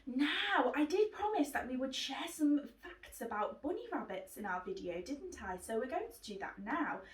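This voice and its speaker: Echoey, higher-voiced woman presenting to children. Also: sing-song, moderate pace, distant mic. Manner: simple, encouraging talk addressed to children. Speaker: host of children's book club. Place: living room indoors. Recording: roomy echo, away from mic.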